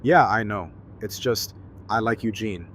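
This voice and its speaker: A man's voice: on the low side, measured pace, fairly bright tone, slight background noise. A man acting an easygoing, friendly buddy with casual, slangy talk in an audio drama. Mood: friendly, upbeat. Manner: casual, slangy, laid-back.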